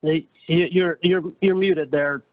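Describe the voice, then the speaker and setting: A man moderating a virtual town hall, friendly and halting, often restarting his sentences. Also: fairly high pitch, fairly even intonation. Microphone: video call. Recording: dry, tinny video-call audio.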